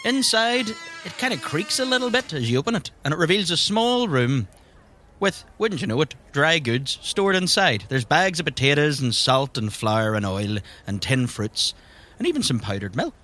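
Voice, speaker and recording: Guy running a tabletop rpg, narrating scenes with really animated pitch, wry and chatty. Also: normal speed. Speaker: game master and narrator. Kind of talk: narrating an improvised tabletop game.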